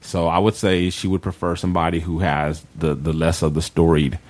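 A man hosting a casual panel chat, speaking haltingly as he searches for words. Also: low voice, level tone, darkish tone. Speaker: talk show host.

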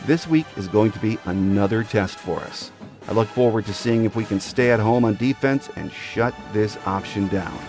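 A man's voice: lowish voice, normal speed, a little mellow, faint music behind. A man hosting a sports podcast with scripted commentary and guest introductions.

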